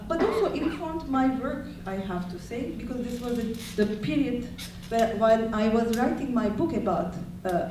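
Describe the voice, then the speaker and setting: A woman from Turkey whose first language is Turkish, explaining history on a university panel in a measured way, with frequent fillers. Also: medium pitch, background noise. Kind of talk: academic panel talk. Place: university lecture hall. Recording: echoey hall, distant mic.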